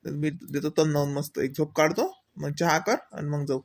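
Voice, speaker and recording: A man's voice: on the high side, steady tone, moderate pace, vocal fry, background noise. A man voicing an easygoing young husband and father in a comedy cartoon, speaking casual, homely Marathi with a Varhadi accent from Vidarbha. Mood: relaxed. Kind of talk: colloquial domestic comedy cartoon dialogue.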